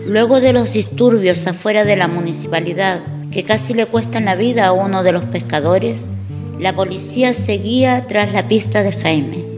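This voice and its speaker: A woman's voice: mid-range voice, moderate pace, close to an everyday voice. A woman narrating the story of a radio drama from a script. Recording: long reverb, music underneath.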